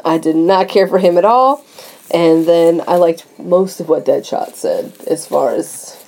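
A woman's voice: lowish voice, measured pace, dark tone, a little hiss or hum, rough recording. A young woman co-hosting a casual podcast chat, talking freely, laughing often and trailing off mid-thought. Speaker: podcast co-host.

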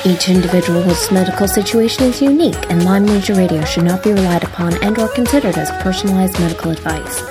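A woman giving a brisk, formal recorded disclaimer. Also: on the low side.

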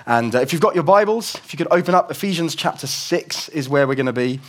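A young man preaching a conversational, explanatory sermon in a church service, his voice croaky from a cold. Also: fairly high pitch, expressive, fast. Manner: conversational, explanatory, with frequent restarts.

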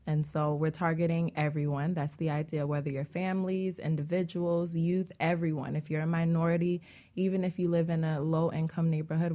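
A woman's voice: deep voice, warm tone. A woman speaking as a guest on a casual talk show, in a conversational interview style. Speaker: talk show guest, non-profit founder.

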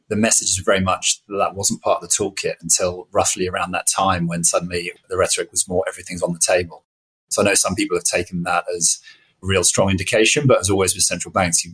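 A man's voice: deep voice, a bit bright, breathy edge, rough recording. A man hosting a podcast in a conversational interview style. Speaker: podcast host.

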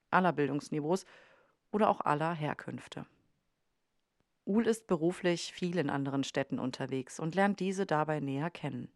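A woman's voice: sits low, dry recording. Woman narrating a podcast, very dry sound. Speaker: podcast host.

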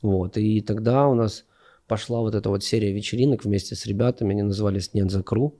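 Low gravelly man, hesitant and mellow. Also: fairly even intonation, quick pace. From Ukraine. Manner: hesitant, many fillers and restarts. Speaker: guest musician. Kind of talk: casual conversational interview.

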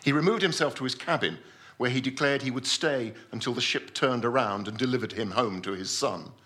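A man playing a pompous, self-assured gentleman scientist telling his adventure in a theatrical story reading. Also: medium pitch, fairly quick, close to an everyday voice. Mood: self-assured, enthusiastic. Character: pompous, obsessive Victorian-style gentleman scientist recounting his deep-sea adventure.

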